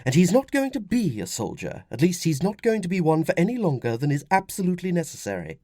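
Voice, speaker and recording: A man voicing a young Roman mother in an audiobook, firm, fretful and gently insistent. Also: fairly high pitch, very animated, normal speed, no echo, studio quality, voice pushed up. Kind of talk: audiobook character dialogue.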